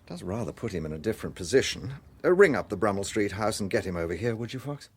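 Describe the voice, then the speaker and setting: Male, English as a courteous, shrewd gentleman inspector for radio drama; wry, very varied pitch, slightly husky. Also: expressive. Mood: thoughtful. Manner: measured, understated, gently wry. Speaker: actor in a detective radio drama. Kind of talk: British radio drama acting.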